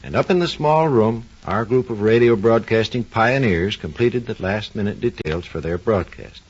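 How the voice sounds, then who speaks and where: A man's voice: on the low side, moderate pace, breathy edge. A man playing a knowledgeable doctor who recalls radio's early days, in vivid, folksy scripted 1940s radio narration. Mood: reminiscing, animated. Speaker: expert storyteller. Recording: dull, lo-fi 1940s radio recording.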